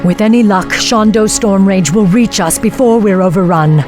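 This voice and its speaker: A woman's voice: mid-range voice, moderate pace, over music, close to an everyday voice. A woman playing a stern, vengeful elf warden commander in a fantasy game, speaking in a commanding, solemn and formal way. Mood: grim, urgent. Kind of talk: dramatic fantasy game voice acting.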